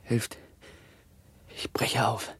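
An actor playing a feverish young plague victim who tells of his own death in short, halting phrases in a radio play. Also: deep voice, no room sound. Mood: agonised. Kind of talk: 1960s German radio play monologue in verse.

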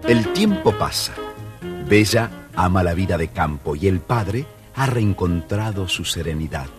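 A man narrating a children's storybook record in a measured storytelling voice. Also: low voice, animated, music in the background.

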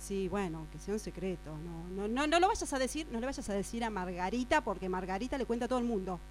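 A woman acting a gossipy friend confiding secrets in a hushed, urgent way with an Argentine accent, in an improvised radio drama. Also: medium pitch, animated, quick pace, pitched below the performer's own voice, natural voice. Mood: secretive, excited. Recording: mains hum noise.

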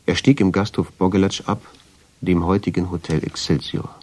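An actor playing a brooding man who narrates a radio drama in the first person, measured and suspenseful. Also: sits low, fairly even intonation, darkish tone. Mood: reflective. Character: brooding man recounting a mysterious family discovery.

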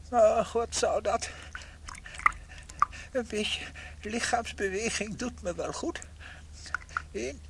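An actor playing a tiny, pious, grateful shrunken husband who talks to himself in a radio play. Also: male voice, very high-pitched, slight background noise, full character voice. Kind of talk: radio play acting, monologue.

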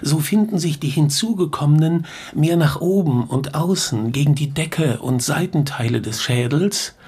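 An actor playing a learned professor of skull science, lecturing formally and pedantically in a German radio drama. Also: male voice, on the high side, normal speed, darkish tone, breathy edge. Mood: pedantic, absorbed.

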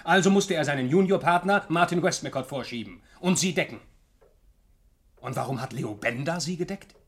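An actor playing a shrewd, composed male investigator in a German radio crime drama, talking deliberately and probingly. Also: on the high side, expressive, husky. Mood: coolly accusing. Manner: deliberate, probing, coolly deductive.

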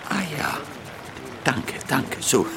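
An actor playing a genial, nostalgic older merchant in a radio drama, courteous and old-fashioned in manner. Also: male voice, medium pitch, wildly swooping pitch, takes it slowly, gravelly, heavy background noise, full character voice. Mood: gallant, upbeat.

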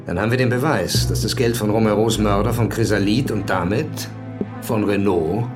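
An actor playing a composed, determined police commissaire in a German-language radio drama. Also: male voice, lowish voice, normal speed, over music, natural voice.

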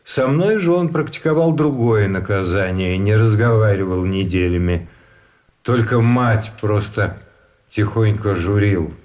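An actor playing a pedantic, wordy gentleman prisoner of war in a radio play, reasoning in a measured way. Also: on the low side, lightly acted. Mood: wistful. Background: instrumental music.

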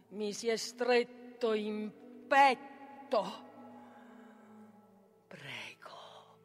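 A woman playing a proud, wronged, vengeful tragic heroine in a solemn, declamatory theatrical reading. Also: medium pitch. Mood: bitter. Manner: declamatory, solemn, swinging from hushed whisper to fierce force. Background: music.

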